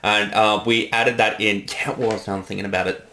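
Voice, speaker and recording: A man speaking casually as a director in behind-the-scenes commentary, explaining things in a halting, reflective way. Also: on the low side, level tone, bright, forceful tone, slight background noise. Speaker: director giving creator commentary.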